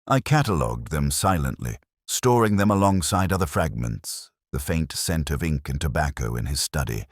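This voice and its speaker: A man playing a brooding, observant police inspector, narrating an audio mystery drama in measured, literary, contemplative phrases. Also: rumbling low, lively intonation, no room sound, clean studio sound. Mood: grave, reflective.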